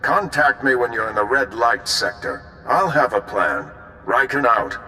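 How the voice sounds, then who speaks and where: An actor playing a decisive, businesslike spy chief briefing an agent in a video game. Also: male voice, mid-range voice, expressive, a little slow, sharp tone, long reverb tail, a little hiss or hum, poor audio. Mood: brisk, urgent. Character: gruff, brisk male spy chief giving mission briefings. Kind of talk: video game cutscene acting.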